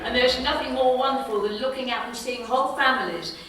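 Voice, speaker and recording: A woman's voice: high-pitched, fairly quick, a bit bright. An older woman giving a heartfelt acceptance speech, declaiming from a stage in a large hall. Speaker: award winner giving a speech. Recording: echoey hall from far off.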